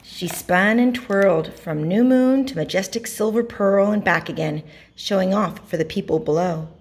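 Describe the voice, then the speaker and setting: A woman reading a picture book aloud to children, narrating expressively with dramatic pauses and emphasis. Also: mid-range voice. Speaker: storybook reader.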